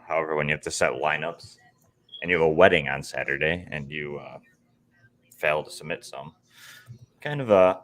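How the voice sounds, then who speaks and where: A man with an extremely low, rumbling voice hosts a casual sports chat. His voice is going, strained and hoarse, and he keeps clearing his throat. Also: fairly bright tone. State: losing his voice. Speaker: podcast co-host.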